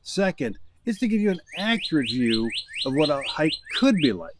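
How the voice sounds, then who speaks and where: A man's voice: on the high side, sing-song, normal speed, noisy background. A middle-aged man with an American accent narrating his long-distance hike in a wry, understated storytelling voice. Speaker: hiking vlogger. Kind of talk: scripted travel narration.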